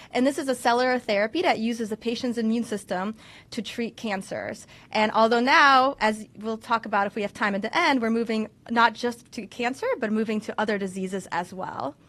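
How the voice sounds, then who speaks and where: Higher-voiced woman doctor lecturing. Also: fairly bright tone. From United States. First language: Russian. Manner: explanatory, many "so" fillers and restarts. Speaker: physician presenter. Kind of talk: medical webinar lecture.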